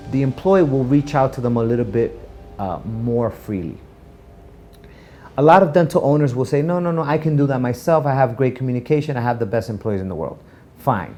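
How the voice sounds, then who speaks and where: A man with a mellow, relaxed voice at a middling pitch talks informally, as a business owner passing on tips to viewers. He stresses his points and keeps checking in with short rhetorical questions. Music plays faintly behind him. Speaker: dental practice owner giving business advice to camera. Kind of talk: informal instructional talk for a video channel.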